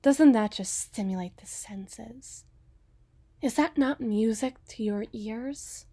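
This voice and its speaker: A woman playing a cool, scheming, seductive mob boss in an ASMR roleplay, with a deliberate, theatrical delivery that often sinks toward a whisper. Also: a little high, dark tone, dry recording. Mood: coaxing, seductive.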